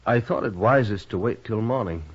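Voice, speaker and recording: A man's voice: lowish voice, moderate pace, slightly rough. A man with an American accent playing a haughty, intolerant Eastern husband in a radio western, with a stiff, pompous manner. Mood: hesitant, formal. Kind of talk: 1950s radio western acting. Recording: muffled vintage radio recording.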